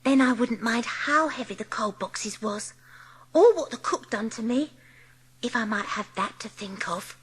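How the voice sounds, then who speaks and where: High, husky little Cockney servant girl. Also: expressive, measured pace, a bit bright, heavily acted. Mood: wistful, eager. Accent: English working-class, Cockney-style. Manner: ungrammatical, deferential, earnest. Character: timid, eager young servant girl, grateful and humble. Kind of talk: dramatised audiobook acting.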